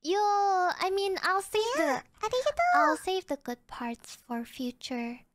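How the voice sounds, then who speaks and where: A woman's voice: extremely high pitch, huge pitch swings, measured pace, heavy background noise. A woman with a cutesy, playful manner, voice-acting short messages as a livestreamer. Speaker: virtual streamer.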